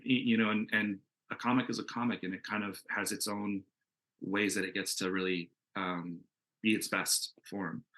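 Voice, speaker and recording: A man with a fairly low but very bright, forward voice speaks as a creator on a casual panel. He is enthusiastic and conversational, working out his thoughts aloud as he answers. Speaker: comic book creator answering questions in a panel.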